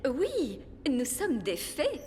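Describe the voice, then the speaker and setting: A sensible fairy nanny from a children's cartoon. Her voice is fairly high and lively, brisk and long-suffering, over faint noise. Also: full character voice. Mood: brisk, patient. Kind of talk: French cartoon dub acting.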